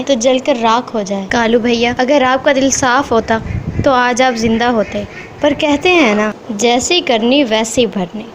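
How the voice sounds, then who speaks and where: A woman's voice: on the high side, slight background noise, natural voice. A woman voicing a sweet, kind-hearted little bird in a children's cartoon, earnest and polite. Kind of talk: Hindi children's cartoon dubbing.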